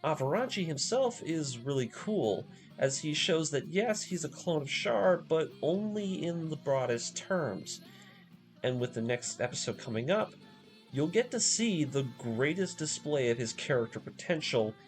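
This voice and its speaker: A man giving scripted narration in the manner of a video essay, telling a story dramatically with emphatic pauses. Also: fairly high pitch, big pitch swings, soft background music.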